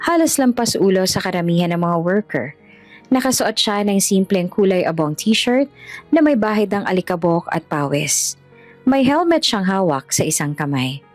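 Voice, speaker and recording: A woman storyteller reading scripted narration for an audio drama. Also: mid-range voice. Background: music.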